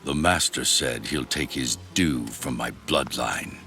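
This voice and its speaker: Casting: menacing elderly occult patriarch, bottomless gritty bass with a forceful edge, deliberate game-cutscene delivery. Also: male voice, exaggerated voice. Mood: coaxing, sinister. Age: older. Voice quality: very deep, gravelly. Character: sinister old patriarch of an occult family cult. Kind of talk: dramatic video game cutscene acting. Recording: music under the voice.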